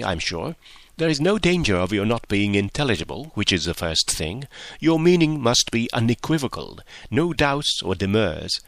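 A man doing a poised, self-assured gentlewoman. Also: mid-range voice, no echo. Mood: advising. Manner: measured, confident, gently lecturing.

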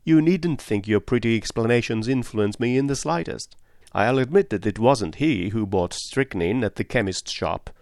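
A man's voice: medium pitch, animated, moderate pace, gravelly, a little mellow, no echo, clean studio sound. A man voicing a blunt, stubborn middle-aged woman in an audiobook, brusque and vehement in manner. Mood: indignant. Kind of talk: audiobook character voice.

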